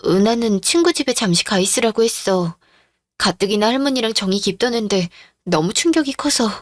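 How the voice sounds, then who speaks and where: A woman's voice: on the high side, lively intonation, brisk, no room sound, clean studio sound, natural voice. A woman playing a devoted, patient middle-aged daughter-in-law and mother in a radio-drama style story. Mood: subdued.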